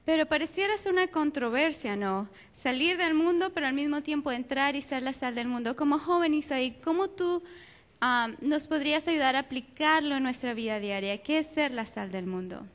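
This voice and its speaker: A woman's voice: sits high, animated, normal speed. A woman moderating a church youth panel, introducing each guest and asking the group questions.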